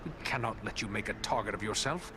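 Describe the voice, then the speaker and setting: An actor playing a resolute human ranger in a fantasy video game, speaking in a formal, earnest way. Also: male voice, fairly low pitch, lively intonation, fast talker, noisy background, natural voice. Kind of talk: video game cutscene acting.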